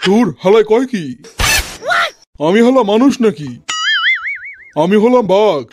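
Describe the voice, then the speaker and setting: Man voicing a high-voiced, blustering cartoon tiger. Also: animated, a little slow. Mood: boastful, threatening. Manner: pompous, threatening. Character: boastful, blustering tiger, king of the jungle. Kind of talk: Bengali comic cartoon dialogue. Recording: loud music behind the voice.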